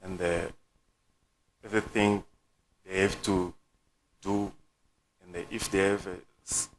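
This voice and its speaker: A man from the Democratic Republic of the Congo whose first language is French, a coach speaking as a panel guest in halting English with many pauses and fillers. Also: low-pitched, fairly bright tone, a little hiss or hum, low-quality recording. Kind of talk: panel discussion answers.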